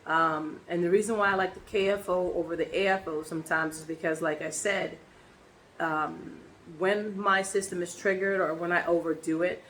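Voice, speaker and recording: A woman with a hoarse voice from laryngitis, chatting casually in a personal vlog and rambling with many ums and restarts. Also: on the low side, steady tone, normal speed, a bit bright, slight background noise, poor audio. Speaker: vlogger.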